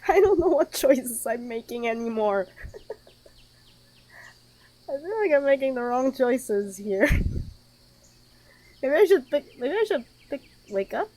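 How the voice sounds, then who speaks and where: A woman's voice: sits high, big pitch swings, slow, pauses often, mellow. A woman streaming a horror game, reading aloud and reacting nervously, often repeating herself.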